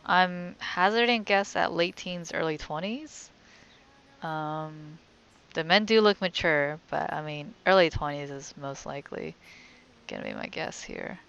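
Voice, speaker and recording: Woman doing chill game commentary, deep clear voice, slightly dull recording. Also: lively intonation, unhurried, a bit bright. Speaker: gaming video host. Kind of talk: casual playthrough commentary.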